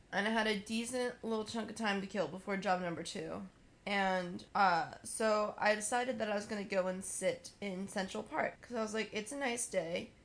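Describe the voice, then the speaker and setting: A woman's voice: medium pitch, a bit bright. A woman co-hosting a video, chatting casually and telling anecdotes in a playful, slangy way. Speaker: video co-host.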